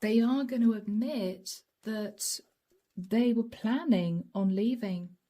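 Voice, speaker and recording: Middle-aged woman doing a tarot reading, warm low-key tone, kinda hoarse, half whispering. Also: slow, a little hiss or hum. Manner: soft, intimate, often drops to a whisper. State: hoarse from a long session. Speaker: tarot reader. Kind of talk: channeled tarot reading to camera.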